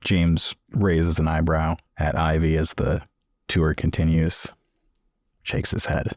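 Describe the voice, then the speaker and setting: A male player talks casually through his moves in a tabletop game. His voice is very low and recorded dry, with hardly any room around it. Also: completely flat delivery, slow pace, darkish tone, dry recording.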